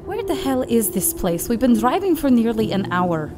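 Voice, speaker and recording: A woman's voice: fairly high pitch, sing-song, normal speed, noisy background. A woman playing a protective, secretive werewolf in an audio drama, with solemn, formal phrasing. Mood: grave, guarded.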